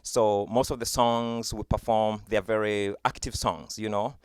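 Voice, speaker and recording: A man with a Ugandan accent and a low, smooth, resonant voice talks students through a virtual music presentation on his computer mic. The sound is close and dry, with no room around it. He explains patiently and fills his pauses with "uh" and "you know". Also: little pitch movement, no echo. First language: Luganda. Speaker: music teaching artist. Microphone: computer webcam mic.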